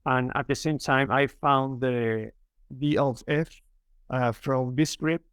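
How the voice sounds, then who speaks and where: A young man from Mexico City with a Mexican Spanish accent, speaking English as a guest on a podcast interview, earnest and halting, with many fillers and restarts. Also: mid-range voice, a bit bright, smooth, no echo. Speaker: filmmaker guest.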